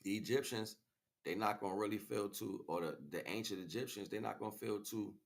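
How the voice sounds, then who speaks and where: A man's voice: lowish voice, fairly quick, creaky. American man preaching in street slang. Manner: preachy, slangy, repeats phrases for emphasis. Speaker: spiritual livestream host. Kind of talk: impromptu spiritual monologue to listeners.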